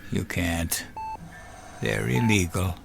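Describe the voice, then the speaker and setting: A man playing a gentle, dying old grandfather in an audio drama, halting and tender, with a creaky voice. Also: deep voice, heavily acted. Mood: rueful.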